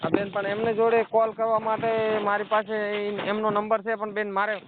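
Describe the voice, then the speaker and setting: A man with a Gujarati accent phones in as a customer, his voice pitched high. He speaks colloquially and respectfully, often in short replies. The line is tinny, with clear noise behind him. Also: completely flat delivery, moderate pace. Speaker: customer calling support. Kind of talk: casual, colloquial phone talk. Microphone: telephone call.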